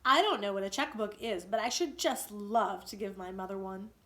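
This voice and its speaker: A woman's voice: medium pitch, normal speed, a bit bright, lightly acted. A woman voicing a naive, boastful and wistful young boy in a storybook reading.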